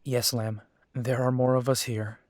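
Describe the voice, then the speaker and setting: A man's voice: medium pitch, little pitch movement, unhurried, a little mellow, no echo, clean studio sound, lightly acted. A man playing a young, protective and determined werewolf in an audio roleplay, speaking earnestly. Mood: serious, determined.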